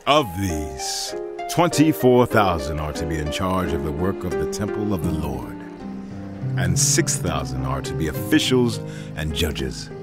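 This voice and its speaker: A man's voice: rumbling low, big pitch swings, measured pace, over loud music, heavily acted. An actor playing an aging, commanding and devout biblical king in a dramatized audio Bible, solemn and declamatory.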